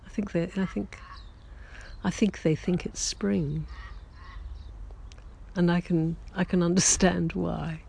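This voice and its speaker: A writer keeping a daily audio diary, talking to listeners in a gentle, halting way with many pauses and self-corrections, a little hoarse after losing their voice that morning. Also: mid-range voice, steady tone, slight background noise. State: recovering from a lost voice, slightly hoarse. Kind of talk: slow reflective personal chat to listeners.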